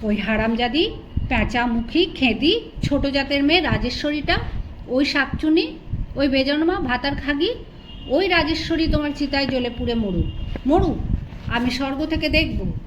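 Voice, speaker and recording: A fiery, jealous queen from an audio storybook, acted out by a woman, high in pitch. She is defiant, sharp-tongued and insistent. The recording is dull, with clear noise behind it. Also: expressive, moderate pace. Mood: spiteful, cursing. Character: jealous, fiery, foul-mouthed queen and childhood sweetheart.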